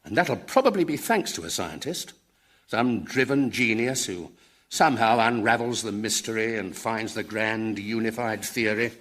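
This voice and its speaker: A British man playing an earnest, touchy, excitable academic in a radio sitcom, husky-voiced, pedantic and easily flustered. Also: on the low side, normal speed. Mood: indignant, earnest. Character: earnest, excitable, touchy dead physics professor.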